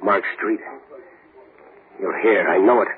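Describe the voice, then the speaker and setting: An actor playing a desperate, vengeful condemned prisoner in a 1930s radio horror drama, frantic, intense and threatening. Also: male voice, fairly low pitch, takes it slowly, recorded from a distance. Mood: haunted. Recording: noisy, echoey, tinny 1930s radio recording.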